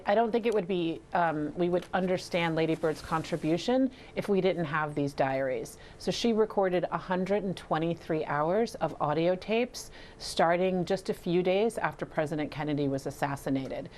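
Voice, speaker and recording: A woman's voice: on the low side, moderate pace, hardly any pauses, a little mellow, a little hiss or hum. A woman filmmaker giving explanatory answers as a guest in an interview.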